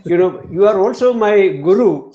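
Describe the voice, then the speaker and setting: A man's voice: sits high, moderate pace, dull audio, crowd noise. A man from India speaking on an online meetup call, praising a friend and often saying "you know".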